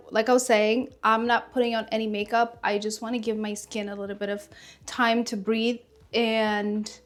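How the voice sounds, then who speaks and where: A woman in her thirties from Ethiopia, chatting casually to camera at home while doing her skincare, rambling and correcting herself as she goes. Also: fairly high pitch. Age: 30s. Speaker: lifestyle vlogger.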